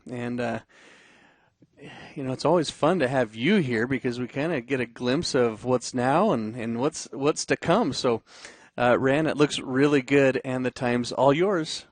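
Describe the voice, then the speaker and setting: A man's voice: medium pitch, measured pace, dry recording. A man hosting a live webinar, reading a friendly introduction of the guest, then chatting loosely with some fillers. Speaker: webinar host.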